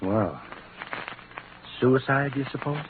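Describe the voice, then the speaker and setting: A guarded, haughty doctor with a medium-pitched, formal voice in old radio drama style. He stays stiff and evasive. The recording is tinny and noisy, like a worn broadcast. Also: expressive, slow pace. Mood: haughty, impatient. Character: haughty, evasive male doctor under questioning. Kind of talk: 1940s radio drama acting.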